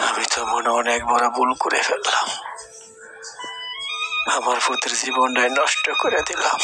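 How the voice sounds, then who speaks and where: A man's voice: mid-range voice, rough recording. A man voicing a kindly, anguished village man in a cartoon, emotive and dramatic, with a rural Bangladeshi accent. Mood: remorseful. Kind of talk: Bengali moral-story cartoon dialogue. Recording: loud music bed. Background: loud music.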